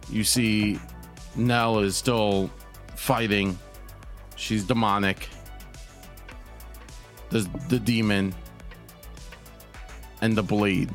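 A man narrating a tabletop roleplaying game as game master, in a measured, descriptive way with pauses. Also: fairly low pitch, fairly bright tone.

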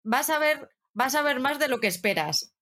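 A woman's voice: sits high, expressive, moderate pace, pushed and bright. Playful, teasing woman co-host. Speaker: podcast co-host. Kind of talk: casual chat among friends.

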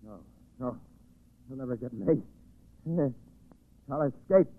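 An actor playing a deranged, menacing and vengeful young man in a 1940s radio thriller, lurching between hushed and frenzied speech. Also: medium pitch, animated, slow pace. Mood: desperate, manic. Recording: muffled, band-limited 1940s radio recording.

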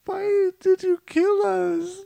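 A plaintive, bewildered parent in an improvised comedy sketch. The voice is extremely high and slow, drawing out each weary complaint with a whine. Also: male voice, level tone, creaky, mellow, no room sound, heavily acted.

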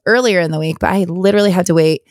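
A hoarse woman hosting a podcast, talking in a candid, chatty, rambling way on her own. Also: on the low side, racing, darkish tone, dry recording, clean studio sound. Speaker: podcast host. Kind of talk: casual solo podcast chat.

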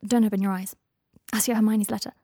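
A shaken but protective young wizard who speaks grimly and to the point, read by a woman narrator. The voice is mellow and dark-toned, recorded dry and close. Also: medium pitch, level tone, fast talker, no echo, lightly acted. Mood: firm. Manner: grim.

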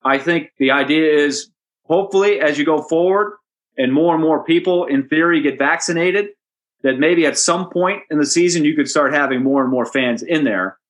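A hockey play-by-play announcer answering interview questions in a relaxed, anecdotal way, chatty and amused. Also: male voice, on the high side, moderate pace. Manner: chatty storyteller, amused, with restarts and asides.

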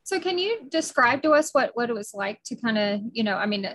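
A woman's voice: on the high side, big pitch swings, fairly quick, a bit bright. A middle-aged woman hosting a podcast interview, enthusiastic and talkative, with frequent fillers and restarts. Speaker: podcast host. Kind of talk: casual interview.

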